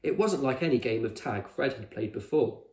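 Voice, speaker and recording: Male teacher-narrator, expressive children's storybook read, medium pitch, echoey room. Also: moderate pace. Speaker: teacher reading a children's novel aloud.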